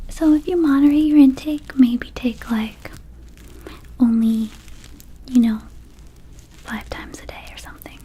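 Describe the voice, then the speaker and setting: A woman plays a little vampire who runs a herb dispensary in an ASMR roleplay. The whisper is soft, very mellow and slow, with hesitant, casually shady salesmanship. There is some steady noise behind the close voice. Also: on the high side, natural voice, rough recording. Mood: laid-back, reassuring. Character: small goofy vampire herb dispensary keeper, laid-back and shady.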